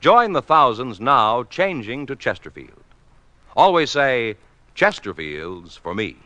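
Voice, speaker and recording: A man's voice: medium pitch, sing-song, slow, bright, forceful tone. A man with an American accent delivering emphatic, scripted announcements in 1950s radio style. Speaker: announcer and advertising pitchman. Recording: vintage 1950s radio recording.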